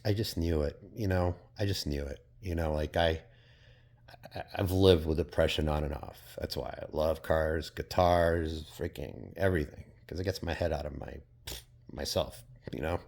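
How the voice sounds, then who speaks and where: A man's voice: low voice, moderate pace, gravelly, a little mellow. A man from Portugal talking in a casual, reflective vlog monologue, rambling and hesitant, with many fillers and casual swearing. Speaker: vlogger.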